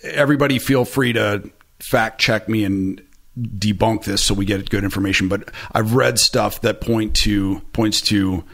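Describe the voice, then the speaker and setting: A man's voice: fairly low pitch, a bit bright. A man in his forties talking candidly as a podcast guest, rambling with lots of fillers and self-deprecating asides, close to a studio mic in a small room. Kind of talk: candid conversational interview. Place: small room with bright orange shelves. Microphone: boom-arm studio mic close to mouth.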